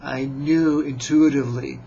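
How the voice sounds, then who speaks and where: Slow, gravelly-voiced American man in his fifties. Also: medium pitch, fairly even intonation, warm tone, muffled recording, slight background noise. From United States. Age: 50s. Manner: slow, measured and deliberate, with many hesitant pauses. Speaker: writer and vlogger. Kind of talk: self-introduction to camera.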